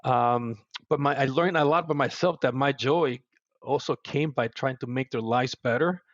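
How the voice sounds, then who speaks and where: A middle-aged man with a Puerto Rican accent talks as a podcast guest, earnest and reflective, often restarting his sentences. His voice sits at an ordinary pitch. The audio is dry and slightly muffled. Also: normal speed, dry recording. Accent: Puerto Rican Spanish. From Puerto Rico. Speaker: guest, service executive. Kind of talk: podcast interview.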